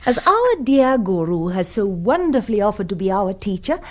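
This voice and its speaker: A woman's voice: mid-range voice, sing-song, moderate pace, close to an everyday voice. An actress in a radio comedy playing an affected, sweetly scheming society lady, gushing and pretentious, with an upper-class English accent. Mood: sweetly domineering. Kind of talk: radio comedy drama acting.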